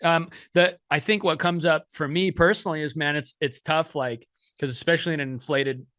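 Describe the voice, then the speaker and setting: Male podcast host, higher-pitched, rambling and filler-heavy, dry close sound. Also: steady tone, brisk, a bit bright, dry recording. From United States. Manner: rambling, many fillers and restarts. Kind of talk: casual podcast conversation.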